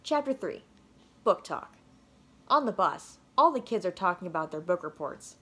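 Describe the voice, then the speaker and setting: Casting: female storybook reader, playful and expressive, widely varied intonation. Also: fairly low pitch, moderate pace, breathy edge. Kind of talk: expressive children's read-aloud narration.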